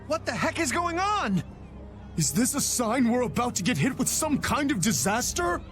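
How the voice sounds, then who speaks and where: A panicky, exasperated townsman in a game cutscene. His voice is very high and swings wildly, with music underneath. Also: expressive, a little slow. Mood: agitated. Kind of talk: animated game voice acting.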